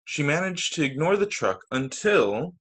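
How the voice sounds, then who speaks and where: A man's voice: on the high side, very animated, a bit bright, husky. A man reading a children's storybook aloud as a teacher, stressing key words expressively over a video call. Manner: deliberate emphasis on key words, with short asides to listeners.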